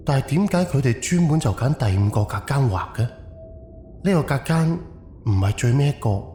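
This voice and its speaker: A man's voice: mid-range voice, lively intonation, normal speed, dark tone, natural voice. A man playing a wary, analytical haunted-house investigator thinking aloud in an audio drama, with a musing, questioning delivery. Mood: puzzled, wary. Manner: musing, questioning, muttered self-talk. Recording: echoey reverb, music underneath. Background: background music.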